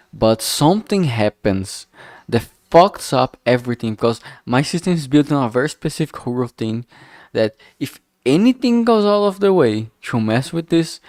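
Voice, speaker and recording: Low-voiced man, casual halting streamer. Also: darkish tone, no echo, clean studio sound. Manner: frank, heavily swearing, halting with self-corrections. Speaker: streamer, game developer. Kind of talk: casual rambling livestream chat.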